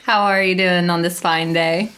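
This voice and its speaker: Upbeat woman co-hosting, lowish voice. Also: steady tone, moderate pace, a bit bright. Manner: upbeat, laughs easily. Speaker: podcast co-host. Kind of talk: casual interview chat.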